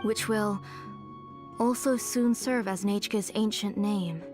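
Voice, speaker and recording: Woman plays worn, devoted older mother in a game: mid-pitched, gentle, measured, earnest, music underneath. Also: natural voice. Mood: weary, resolute. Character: weary, devoted aging mother and name engraver. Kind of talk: video game voice acting. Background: background music.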